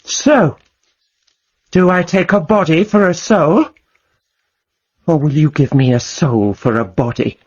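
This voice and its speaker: A woman playing a resolute, coldly menacing avenger in a radio drama, speaking deliberately. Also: low-pitched, darkish tone, slightly rough, highs cut off, heavily acted. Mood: vengeful threat.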